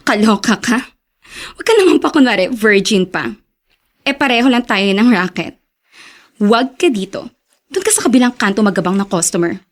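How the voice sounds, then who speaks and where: An actress playing a brash, territorial streetwalker, sassy, taunting and flirtatious, in a Tagalog radio drama. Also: female voice, a little high, big pitch swings, normal speed, long pauses. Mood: mocking, territorial.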